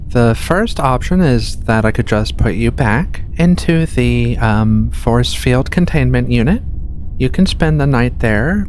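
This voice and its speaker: A performer playing a giant furry alien medical researcher in a soft-spoken ASMR roleplay, gentle and reassuring with casual, hesitant phrasing. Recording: steady low ship rumble behind voice. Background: low rumbling spaceship ambience.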